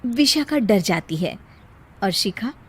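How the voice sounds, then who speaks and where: A woman's voice: mid-range voice, lively intonation, slow, heavy background noise. A woman narrating a scripted Hindi children's cartoon story as the storyteller.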